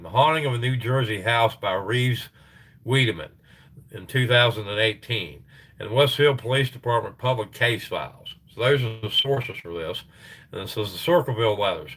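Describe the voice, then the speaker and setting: A middle-aged man hosting a casual livestream, reading sources aloud and chatting loosely with his viewers. His voice is getting tired and hoarse. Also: lowish voice, a bit bright, low-quality recording. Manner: rambling, reads sources aloud, chats with viewers. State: voice tiring, gets hoarse. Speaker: livestream host.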